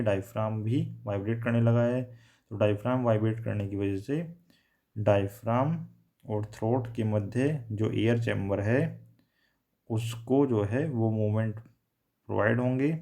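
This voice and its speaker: Low, creaky male teacher. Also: mellow. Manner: repeats and rephrases points, mixing in English technical terms. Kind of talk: explanatory technical lecture.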